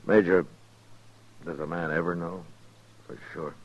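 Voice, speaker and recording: Determined US marshal. Low, steady delivery, radio western, vintage hissy sound. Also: male voice, a little slow. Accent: American. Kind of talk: 1950s radio western acting. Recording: dull, noisy 1950s radio recording.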